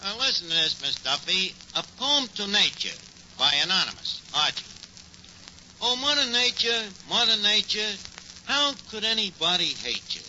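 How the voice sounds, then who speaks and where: An actor playing a wisecracking tavern manager with a New York accent and slangy, mock-grand speech in old radio comedy. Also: male voice, high voice, big pitch swings, moderate pace, pushed and bright, full character voice. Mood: mock-lofty. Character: scheming, wisecracking male tavern manager, malaprop-prone. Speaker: sitcom lead. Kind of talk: 1940s radio comedy acting. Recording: noisy, dull 1940s radio recording.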